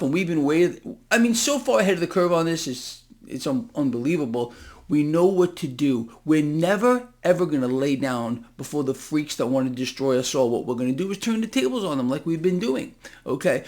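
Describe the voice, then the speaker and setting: A man hosting financial commentary, speaking off the cuff straight to his viewers in an emphatic, incredulous, conspiratorial manner. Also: fairly high pitch. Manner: emphatic, incredulous, conspiratorial, addresses listeners directly. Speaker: host of a financial market commentary channel. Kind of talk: impassioned unscripted market commentary to viewers.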